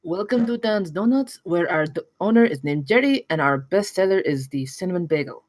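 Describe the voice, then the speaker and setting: A woman as a chatty, animated teenage shop clerk. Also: lowish voice, fairly quick, close to an everyday voice. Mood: chatty, breezy. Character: chatty eighteen-year-old donut shop counter worker. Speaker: actor at a table read. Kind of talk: scripted film table read.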